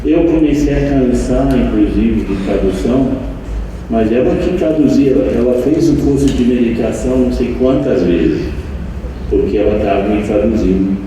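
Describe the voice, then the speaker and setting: An older man who learned Portuguese as an adult, a meditation teacher giving a slow, reflective anniversary speech to an audience, with frequent pauses and restarts. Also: medium pitch, mellow. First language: not Portuguese. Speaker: meditation teacher and center leader. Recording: echoey room, distant mic, faint noise.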